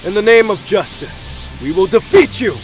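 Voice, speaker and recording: A man's voice: sits high, expressive, moderate pace, music in the background. A man voicing a serious, dutiful and righteous android superhero, earnest and formal, in an anime game cutscene. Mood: heroic.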